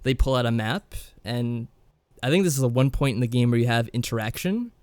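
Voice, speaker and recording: A man's voice: mid-range voice, expressive, a little fast, darkish tone, smooth, dry recording. Wry man chatting, close dry sound. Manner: wry, tongue-in-cheek. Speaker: podcast co-host. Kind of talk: casual discussion and game recap.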